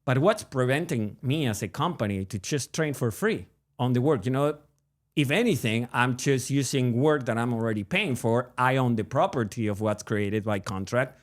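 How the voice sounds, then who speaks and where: A man's voice: mid-range voice, normal speed. Argentine guy cohosting a podcast, joky and rambly, keeps restarting. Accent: Argentine Spanish. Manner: joking, rambling, frequent restarts and laughs. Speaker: podcast co-host. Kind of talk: casual chat.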